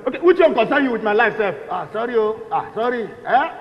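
A man with a Nigerian accent playing a cheeky, excitable layabout in a stage comedy, teasing and exclaiming. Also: extremely high pitch, fast talker. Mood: mocking, excitable. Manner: exclamatory, sing-song, teasing. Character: cheeky, excitable male layabout, mocking and playful. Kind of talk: comic stage acting in Nigerian Pidgin. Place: indoor theatre stage set. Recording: reverberant stage, dull sound.

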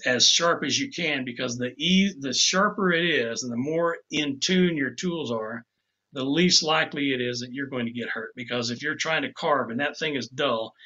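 An older man talks casually through a demonstration over a video call, loose and unhurried, often repeating himself. His voice is bright. The sound comes through a muffled, lo-fi webcam mic in a home office. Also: medium pitch, husky. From Tennessee. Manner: easygoing, repeats words as he goes. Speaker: woodcarving instructor. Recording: dull lo-fi video call audio.

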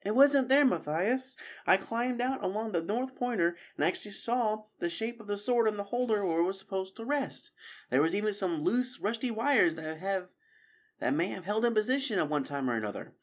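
A man's voice: high-pitched, lively intonation, normal speed, voice pushed up. A man voicing a plucky, frank mother squirrel, a weary heroine in a storybook. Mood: apologetic.

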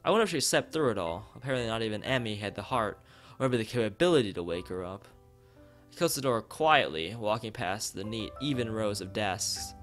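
A man with a fairly low voice reads visual novel text aloud as a streamer. He often trips over a phrase and says it again, and drops in casual asides. Music plays behind him. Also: animated, brisk, fairly bright tone. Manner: stumbles over read lines and repeats phrases. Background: background music.

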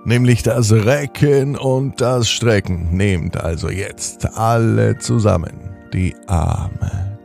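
Slow gravelly man telling a bedtime story. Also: mid-range voice. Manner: slow, soothing, gently animated storytelling. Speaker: children's podcast host and storyteller.

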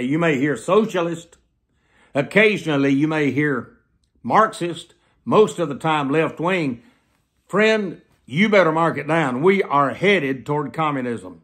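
Slow-paced older American preacher man. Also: a little high, fairly bright tone. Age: 70s. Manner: emphatic, deliberate, repeats phrases for weight. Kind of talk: sermon delivered to camera. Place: small plain room indoors.

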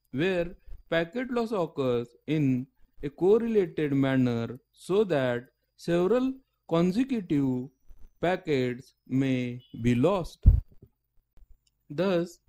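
A man reads out a prepared lecture at a slow, careful pace. His voice sits a little high for a man and has a soft, mellow tone. He breaks sentences into short, deliberate pieces. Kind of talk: scripted lecture narration.

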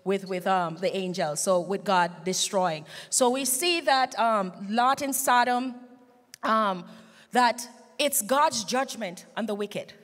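A woman's voice: medium pitch, slow pace, fairly bright tone. A middle-aged woman hosting a Bible study panel, speaking emphatically into a handheld microphone. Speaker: Sabbath school host. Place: large church stage, indoors. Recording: reverberant church hall sound.

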